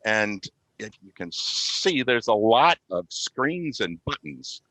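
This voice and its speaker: Very deep-voiced man in an interview. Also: very animated, slow pace, sharp tone, no echo, low-quality recording. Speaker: podcast guest, community radio IT volunteer. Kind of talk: interview answers, explanatory chat. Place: remote call with virtual background. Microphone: video call.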